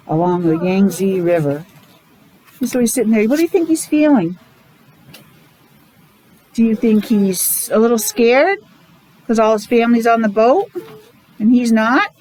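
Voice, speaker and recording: A woman, a teacher reading a picture book aloud to young children, pausing to explain and ask questions in simple words. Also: a little high, a little mellow, slight background noise, rough recording. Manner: child-directed, asking questions, repeating words for emphasis. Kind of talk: animated read-aloud with casual explanatory asides.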